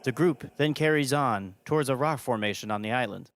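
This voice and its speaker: A man's voice: medium pitch, a little fast, a bit bright, no room sound, clean studio sound. A man hosting and narrating a scripted audio drama podcast.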